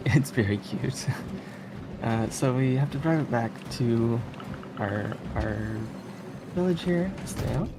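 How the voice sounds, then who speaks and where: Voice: medium pitch, expressive, slow pace, heavy background noise. A speedrunner giving casual live commentary on a game, chatty and giggly, with some hesitation while explaining. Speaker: speedrunner presenting a game run. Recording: game music behind the voice. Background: game music.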